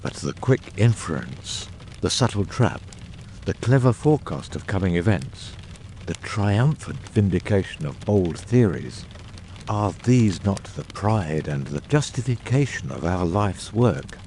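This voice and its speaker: A man plays a masterful detective in an audiobook drama, with a very deep and dark voice. He is grave and theatrical, speaking in measured, eloquent, commanding phrases. A faint noise sits behind him. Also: very animated, full character voice.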